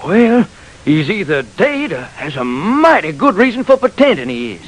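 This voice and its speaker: An actor playing a folksy, gossipy local man with drawn-out, colloquial talk in an old-time radio drama. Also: sits high, very animated, noisy background.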